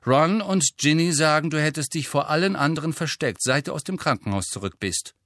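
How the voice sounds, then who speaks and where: Guy doing a bossy teen schoolgirl for an audiobook, brisk n lecturing, voice lifted higher, dry sound. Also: expressive, fairly bright tone, dry recording. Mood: impatient, sharp.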